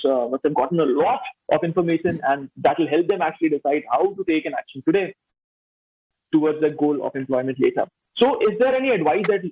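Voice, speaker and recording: A man hosting a panel discussion, upbeat, prompting each guest with quick praise. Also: on the high side, breathy edge. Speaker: host. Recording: dull lo-fi audio.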